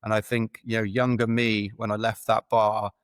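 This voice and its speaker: Guy in his 40s, low monotone-ish voice, rambly podcast guest, dry close mic. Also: moderate pace, no echo. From Wales. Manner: rambling, self-correcting, chuckles while telling stories. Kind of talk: casual podcast interview.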